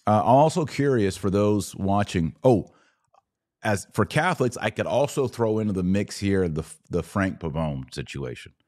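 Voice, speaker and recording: A man's voice: lowish voice, animated, moderate pace, a little mellow, no echo, studio quality. A man hosting a podcast livestream, conversationally reading out viewer comments and responding to them. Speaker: podcast host. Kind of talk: casual live-stream commentary, reading viewer comments aloud.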